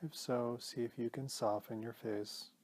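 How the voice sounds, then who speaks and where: A man leading a guided meditation as a teacher, speaking in a calm, gentle, measured way with pauses. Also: medium pitch.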